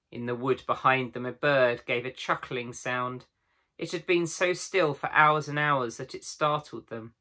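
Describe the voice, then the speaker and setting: Man, thirties, storybook narration, medium pitch, bright clear-cut timbre. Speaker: teacher reading to pupils. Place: small room at home with bookshelves.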